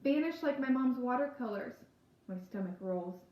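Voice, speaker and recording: High-voiced woman reading aloud, echoey room. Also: expressive, normal speed, dull audio. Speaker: book reader. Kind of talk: reading a novel aloud, first-person narration.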